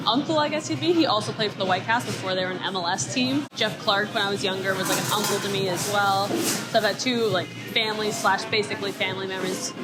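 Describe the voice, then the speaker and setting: Chatty woman over loud music. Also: medium pitch, fast. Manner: casual, chatty. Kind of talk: documentary interview.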